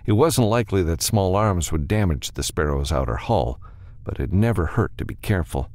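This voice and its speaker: A man's voice: low voice, animated, normal speed, darkish tone, slight background noise. A man narrating an adventure novel as an audiobook, reading scripted prose dramatically.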